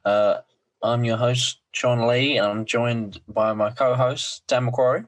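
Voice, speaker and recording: A man hosting a podcast, a little croaky, talking enthusiastically with frequent ums. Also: medium pitch, fairly even intonation, measured pace, low-quality recording. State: slightly croaky voice. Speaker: podcast host. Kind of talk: casual podcast chat.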